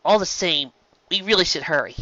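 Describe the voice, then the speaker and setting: Guy doing a sensible lady pony leader, higher pitched and bright, storybook narration voice. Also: a little fast, dry recording, lo-fi sound. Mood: reassuring. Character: composed, responsible young unicorn mare, reassuring leader.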